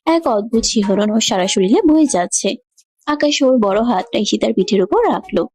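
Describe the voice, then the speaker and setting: A woman's voice: a little high, lively intonation, normal speed, darkish tone, a little hiss or hum. A woman giving scripted narration of a romantic story.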